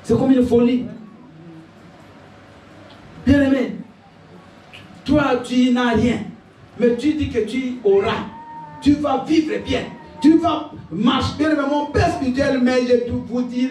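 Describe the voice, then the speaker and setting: A male pastor, preaching a sermon, shouting his key points and laughing through his stories. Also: very high-pitched. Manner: exhorting, laughing asides, shouted declarations. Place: church. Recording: echoey church hall, picked up from a distance. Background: congregation responding.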